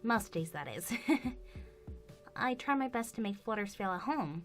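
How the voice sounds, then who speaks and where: A kindly guardian pony from an animated fan drama, acted by a woman. She speaks in a gentle, reassuring, motherly way with very lively intonation. Music plays clearly behind her. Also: medium pitch, unhurried. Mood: wistful.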